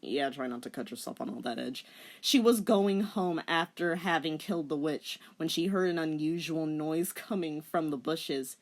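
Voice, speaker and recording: A woman's voice: sits low, normal speed. A woman narrating a comic dramatic reading with teasing, theatrical commentary.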